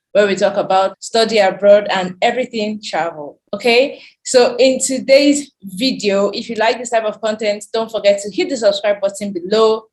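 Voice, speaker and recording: Upbeat woman hosting a channel. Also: medium pitch. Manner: upbeat, chatty, laughs easily. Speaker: YouTube host. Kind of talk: casual channel intro and interview.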